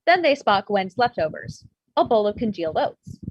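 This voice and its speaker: A woman's voice: medium pitch, sing-song, normal speed, slightly rough, no echo, dull audio. A woman reading screenplay stage directions as scripted narration for a table read. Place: indoors, remote virtual table read.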